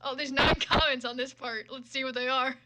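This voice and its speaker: A woman's voice: sits high, fairly even intonation, brisk, heavy background noise. A woman reading fan fiction aloud with casual, irreverent and sometimes profane commentary.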